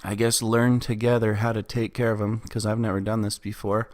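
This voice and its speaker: A man's voice: on the low side, level tone, a little fast, no echo, studio quality. A man with a cold, sniffling and coughing as he plays, casually talking through a farming game and unsure of what he's doing. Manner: easygoing, unsure, thinking aloud. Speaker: gaming channel host. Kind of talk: casual gameplay commentary.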